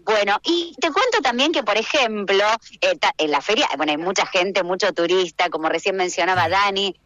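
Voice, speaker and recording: A woman's voice: mid-range voice, lively intonation, normal speed, a bit bright, dry recording, poor audio. An Argentine woman roving radio reporter, chatting effusively and informally as she interviews people live at a craft fair.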